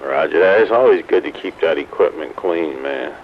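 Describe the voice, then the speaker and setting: Deep, gravelly man on CB radio. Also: animated, normal speed. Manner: folksy, uses CB slang. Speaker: radio operator. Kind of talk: casual CB radio chat. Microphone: CB radio transmitter. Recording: noisy, muffled CB radio transmission.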